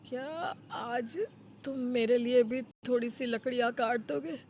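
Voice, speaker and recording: A woman's voice: on the high side, fairly even intonation, a little slow, a little mellow, slight background noise. A woman voicing a cunning, lazy, greedy village man in a Hindi moral-story cartoon, coaxing and wheedling. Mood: wheedling, pitiful.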